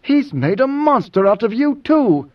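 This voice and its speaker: A man voicing an indignant, hot-headed warrior in a blunt, strained, hoarse voice for an audiobook. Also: sits high, lively intonation, a little slow, recorded from a distance, highs cut off, voice pushed up. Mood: outraged.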